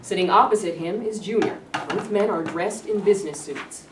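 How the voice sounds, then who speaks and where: A woman's voice: medium pitch, moderate pace. Distant echoey woman reading stage directions. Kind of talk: scripted narration. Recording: echoey room, distant, noisy.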